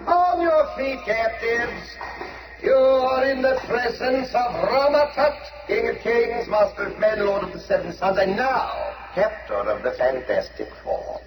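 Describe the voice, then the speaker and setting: An actor playing a grandiose villainous conqueror posing as a pharaoh in a 1970s radio drama, proclaiming pompously and theatrically. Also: male voice, very high voice, expressive, a little slow, noisy background. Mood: imperious. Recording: 1970s radio recording with music behind.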